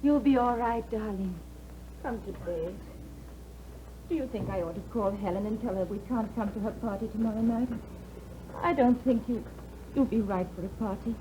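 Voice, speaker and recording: A woman playing a gentle, caring young wife. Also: on the high side, moderate pace, pauses often, background noise, close to an everyday voice. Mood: soothing. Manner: gentle, soothing. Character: caring young bride worried for her husband. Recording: muffled 1950s radio broadcast.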